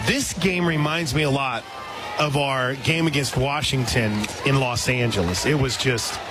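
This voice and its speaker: A man doing live play-by-play softball commentary for radio as the announcer. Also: medium pitch, unhurried, loud music behind the voice. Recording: loud crowd and stadium noise. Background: loud crowd, stadium ambience.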